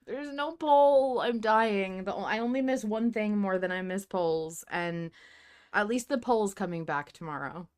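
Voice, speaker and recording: A woman's voice: medium pitch, lively intonation, measured pace, clear, resonant tone. Playful woman hosting a podcast. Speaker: podcast host. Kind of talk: casual fan podcast chat.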